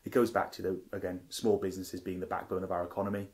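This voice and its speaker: Deep, flat-voiced man from Wales. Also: quick pace. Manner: earnest, restarts often, rattles off figures. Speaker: parliamentary candidate. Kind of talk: radio interview answers.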